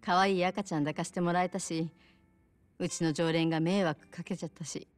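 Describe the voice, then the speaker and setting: An actress playing a kind, soft-spoken bar owner with a polite, considerate manner in a video game cutscene. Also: female voice, fairly low pitch, steady tone, a little fast, faint music behind, close to an everyday voice. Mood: gentle, apologetic. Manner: polite, considerate, gently casual.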